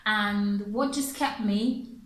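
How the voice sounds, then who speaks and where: A woman's voice: medium pitch, slow, reverberant, a little hiss or hum. A woman from Africa who teaches pronunciation and speaks English as a second language, chatting casually through viewer questions, laughing often and repeating her phrases. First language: not English.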